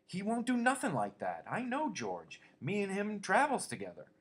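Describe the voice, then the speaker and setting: A man's voice: normal speed, lightly acted. A man doing a childlike, whiny simple man. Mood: pleading. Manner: high pleading whine. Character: slow-witted big gentle man, childlike and anxious.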